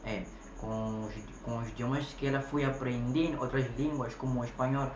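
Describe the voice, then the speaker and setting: A man from Mozambique hosts a reaction video with emphatic, repetitive commentary. His voice sounds in an echoey room with steady noise behind it. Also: medium pitch, moderate pace. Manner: repetitive, emphatic, keeps saying gente.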